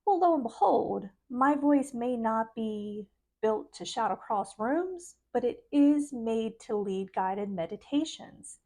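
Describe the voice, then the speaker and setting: Middle-aged woman, soft voice, slightly high, earnest casual vlog delivery. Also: lively intonation, slow pace. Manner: earnest, chatty, laughs between thoughts. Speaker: spiritual vlogger. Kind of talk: casual talk to camera.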